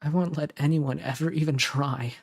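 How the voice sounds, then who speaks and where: A man playing a devoted, protective male lover in romantic roleplay audio, speaking earnestly and pleadingly with dramatic pauses. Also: on the high side, fairly even intonation, creaky. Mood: fervent, protective.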